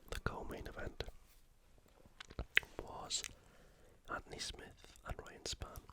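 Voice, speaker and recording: An ASMR YouTuber speaks entirely in a breathy whisper, close to the microphone. The delivery is soft and unhurried, like a quiet sports rundown meant to relax.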